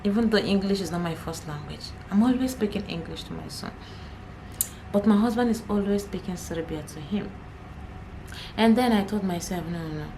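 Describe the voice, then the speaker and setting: A woman's voice: medium pitch, moderate pace, mellow, a little hiss or hum, rough recording. A young Nigerian woman, an Igbo speaker, chatting casually to camera as a vlogger, laughing often and repeating herself.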